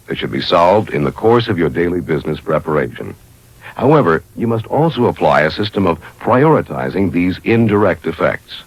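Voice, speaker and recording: A man's voice: rumbling low, vocal fry, slightly rough, no room sound, poor audio. A man reading scripted instructional narration in a formal, methodical way, as the narrator of a training video.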